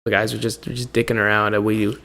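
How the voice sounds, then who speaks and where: A man's voice: on the low side, flat delivery, fast, vocal fry, pushed and bright. A young man chatting on a podcast, laid-back and laughing often, stumbling over his openings. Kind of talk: casual chat.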